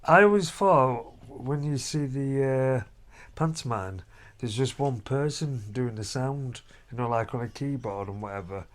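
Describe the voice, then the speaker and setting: A man's voice: medium pitch, expressive, measured pace. Middle-aged man, family vlog chat, rambling, down-to-earth, hotel room. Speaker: vlogger, father.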